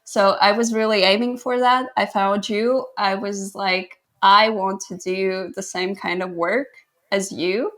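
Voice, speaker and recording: A woman's voice: medium pitch, fairly even intonation, measured pace, fairly bright tone. A woman in her twenties from Latvia, a guest photographer telling her story in a modest, laughing way. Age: 20s. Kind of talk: casual interview chat.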